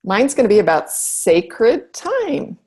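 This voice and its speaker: A woman's voice: fairly high pitch, huge pitch swings, takes it slowly, darkish tone, husky. Earnest woman guest giving a talk. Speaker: shaman and guest speaker. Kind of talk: online talk.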